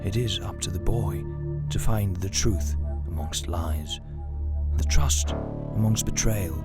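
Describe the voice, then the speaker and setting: An ominous narrator played by a man delivers dramatic audio drama trailer lines. The voice is low and grave, beneath loud music. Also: slow pace.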